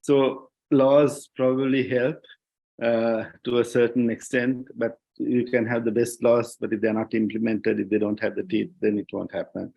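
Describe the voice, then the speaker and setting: A Sri Lankan man speaking thoughtfully on a discussion panel, reflective and halting as he searches for words and repeats phrases. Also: medium pitch, level tone, gravelly, highs cut off. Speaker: panelist, journalist and writer. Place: online discussion call.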